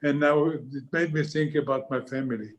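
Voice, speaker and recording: A man's voice: on the high side, steady tone, brisk. A man with an Israeli accent, a book club member sharing his family's history earnestly, searching for words. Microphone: video call.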